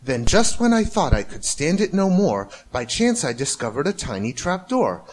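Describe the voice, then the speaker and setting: Animated, higher-pitched man reading rhyming stories. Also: normal speed. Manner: theatrical, rhythmic verse delivery. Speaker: amateur storybook reader. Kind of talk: expressive rhyming story narration.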